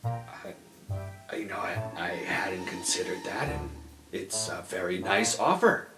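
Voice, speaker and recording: A man playing a reluctant, kindly student squad leader in a tabletop game, hesitant and deadpan. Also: low-pitched, measured pace, pitched below the performer's own voice. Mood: wary, polite. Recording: echoey room, music behind.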